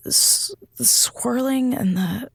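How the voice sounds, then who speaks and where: A woman playing a dazed female captain who rambles in muddled, halting fragments, in an audio drama. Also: a little high, vocal fry, slightly rough, no room sound, exaggerated voice. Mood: fading, disoriented. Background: loud music.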